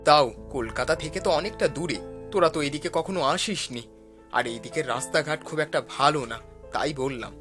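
A man's voice: on the high side, a little fast, a bit bright, soft background music, close to an everyday voice. A man playing an eager, chummy young man hosting old friends in a cartoon story. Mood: friendly. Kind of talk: cartoon voice acting.

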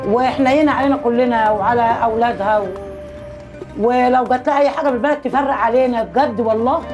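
Egyptian woman as a local neighbour speaking informally, lightly high pitch, music underneath. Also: fast talker. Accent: Egyptian Arabic. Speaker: neighbour giving testimonial. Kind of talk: casual spoken testimonial.

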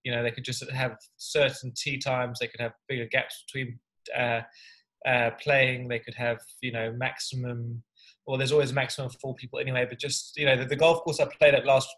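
Early-thirties male guest on webcam call; relaxed, rambling, mid-range pitch. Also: a little fast, rough recording. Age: 30s. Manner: easygoing, rambling with repeats. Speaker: guest, personal trainer and golfer. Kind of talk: casual video-call interview. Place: home room, indoors. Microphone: video call webcam.

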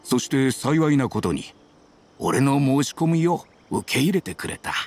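Nostalgic old husband, very animated intonation, medium pitch, gentle, lightly teasing, anime game. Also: male voice, unhurried, a little hiss or hum. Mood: nostalgic, fond. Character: fond, nostalgic elderly village husband.

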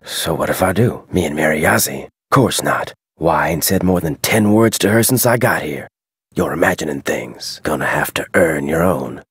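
An actor playing a gruff, guarded head wrangler in a video game, with a terse, folksy American Western drawl. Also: male voice, a bass voice, fairly bright tone, no room sound, studio quality, exaggerated voice. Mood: guarded, defensive. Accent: American Western cowboy drawl. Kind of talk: scripted game dialogue.

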